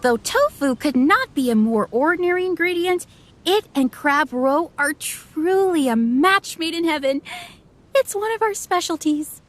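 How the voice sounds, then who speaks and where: A woman's voice: high voice, animated, unhurried. A woman voicing a polite young female server at an inn, describing dishes to customers in a video game. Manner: polite, attentive, descriptive sales patter.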